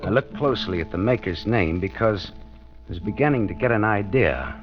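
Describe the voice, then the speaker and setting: A hard-boiled American detective voiced by a man with a low voice and a wry, colloquial way of telling his case. It is old radio noir, with a dull, muffled sound and music in the recording. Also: expressive, normal speed, natural voice. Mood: wry, suspenseful. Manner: wisecracking, colloquial, dramatic. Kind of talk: 1940s radio detective drama acting, noir narration.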